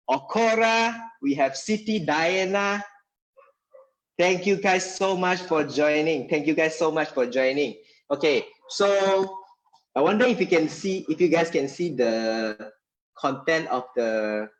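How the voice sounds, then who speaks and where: A man's voice: fairly high pitch, poor audio. A man with a Malaysian accent hosting a casual live stream, chatting and code-switching, repeating himself as he waits for viewers.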